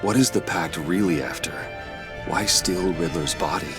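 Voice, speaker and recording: An actor playing a brooding vigilante hero in a video game, speaking in a grave, terse, guarded way. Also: male voice, deep voice, moderate pace, natural voice. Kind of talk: video game voice acting, scripted narration. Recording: game audio under loud music.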